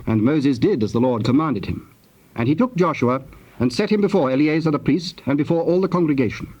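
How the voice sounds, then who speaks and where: A man narrating scripture from a script in a measured, formal storytelling manner. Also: a little high, expressive, darkish tone. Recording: dull old tape, faint noise.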